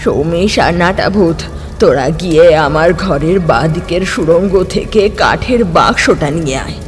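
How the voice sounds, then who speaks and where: A woman's voice: on the low side, lively intonation, normal speed, close to an everyday voice. A woman doing a stern old village sorceress. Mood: commanding. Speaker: healer of the story. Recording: with loud background music.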